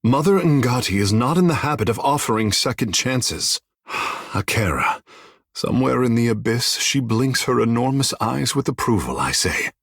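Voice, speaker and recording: An actor playing a vain, flamboyant water-shaping artist in a video game, with a theatrical, lofty and formal way of speaking. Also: male voice, mid-range voice, very animated, moderate pace, husky, no echo, clean studio sound. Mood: solemn, reverent. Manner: theatrical, lofty, formal island-noble phrasing.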